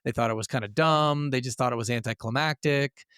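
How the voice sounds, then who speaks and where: Guy podcast host, smooth clean voice, close dry sound, chatty storyteller. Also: mid-range voice, a little fast, fairly bright tone, no room sound. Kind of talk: casual gaming podcast chat.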